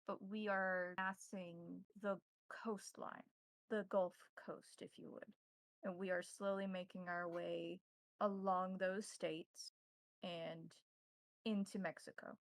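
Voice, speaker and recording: A woman playing a courteous, cryptic vampire priest and advisor, speaking formally in measured, halting phrases in a tabletop roleplaying game. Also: on the low side, level tone, gravelly, no room sound. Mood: solemn, explaining.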